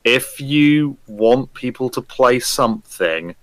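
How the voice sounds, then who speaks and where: A man's voice: mid-range voice, animated, slow, a bit bright, no room sound. A man chatting casually as a panellist in a group podcast discussion, informal and hesitant in how he talks.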